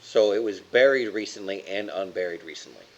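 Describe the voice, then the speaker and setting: A man's voice: fairly low pitch, brisk, dull audio. A man playing a tabletop game, talking casually and reasoning things out aloud.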